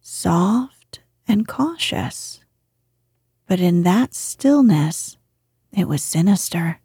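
A woman with a breathy, hushed voice reading bedtime fiction as a podcast host, gently and with measured phrasing. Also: lowish voice, animated, dry recording, studio quality. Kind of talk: soft, soothing bedtime story reading.

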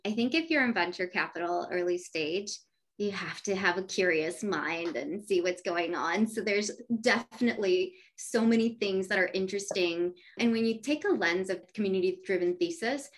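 A woman's voice: medium pitch, normal speed. An upbeat, businesslike woman whose first language is Spanish talks as a guest in a conversational interview. She explains her venture fund's work with enthusiasm, in a mid-range voice. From United States. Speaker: venture capital partner, guest.

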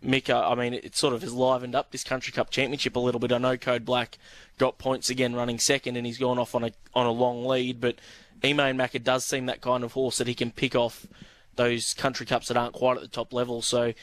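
Flat-toned Australian man, casual racing chat. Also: mid-range voice, fairly quick. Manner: hesitant, filler-heavy, even-toned. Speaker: harness racing podcast co-host.

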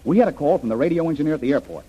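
An actor playing a decisive, troubled adventurer man with a husky voice in an old-time radio adventure. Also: fairly high pitch, animated, very fast, warm tone, a little hiss or hum, natural voice. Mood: worried. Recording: dull old radio recording.

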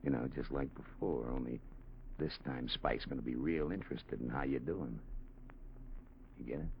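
A man's voice: rumbling low, moderate pace, creaky. An actor playing a menacing, sardonic gangster boss in a 1940s radio crime drama, with slangy, taunting tough-guy talk. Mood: veiled threat. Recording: muffled, noisy old radio recording.

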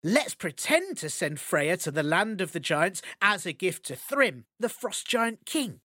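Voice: mid-range voice, very animated, a little slow, sharp tone, no room sound, clean studio sound, heavily acted. A storyteller voicing Loki, a sly, mischievous male trickster god who coaxes and schemes with amused persuasion. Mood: scheming.

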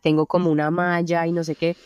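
Colombian woman, young adult, low voice, smooth and resonant, dry close sound, easygoing talk with lots of fillers. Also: quick pace, no room sound, highs cut off. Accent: Colombian Spanish. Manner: relaxed, many fillers like "o sea" and "como". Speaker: singer-songwriter guest. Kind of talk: casual interview chat. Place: friends' apartment.

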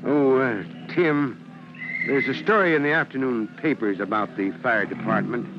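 A man's voice: mid-range voice, normal speed, vocal fry, natural voice. A man acting a middle-aged veteran fire chief in an old American radio drama, firm, authoritative and fatherly. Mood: grave, fatherly. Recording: muffled old radio recording, noisy vehicle backdrop. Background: car noise.

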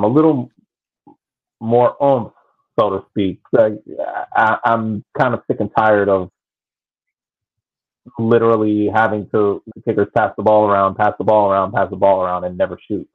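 A man giving his views as a panelist on a casual sports podcast, over a thin call connection. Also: fairly low pitch. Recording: thin phone-like call audio.

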